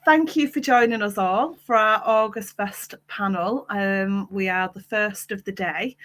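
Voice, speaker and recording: A woman's voice: medium pitch, a bit bright. A woman hosting a panel discussion, chatty and a little hesitant with frequent fillers. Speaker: panel host.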